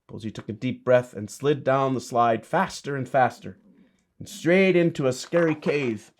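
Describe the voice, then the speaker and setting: A man's voice: mid-range voice, moderate pace. A man, a bishop, reading a children's storybook and chatting to kids with simple, encouraging asides.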